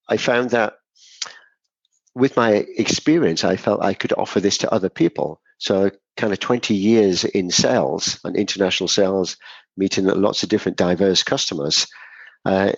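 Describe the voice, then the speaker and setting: Man, middle-aged, from scotland, very low voice, gravelly fry, dry close sound, slightly muffled. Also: normal speed, no echo. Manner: explains at length with frequent "so" and "kind of", some restarts. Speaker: guest, business growth consultant. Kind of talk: interview answers.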